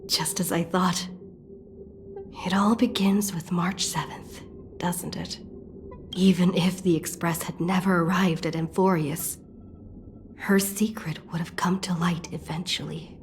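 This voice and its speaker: An actress playing a pensive, earnest young heroine in a video game, talking in a hushed, introspective manner. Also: female voice, lowish voice, fairly even intonation, unhurried, a little hiss or hum. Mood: pensive, strained. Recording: music under the voice.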